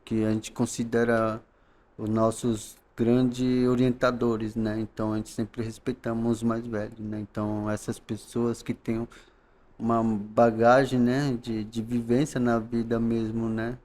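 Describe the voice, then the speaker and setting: A man from the coast of São Paulo, Brazil, speaking as a podcast guest in a calm, halting way, often ending phrases with tag questions. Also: medium pitch. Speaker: guest, knowledge keeper. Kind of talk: podcast interview.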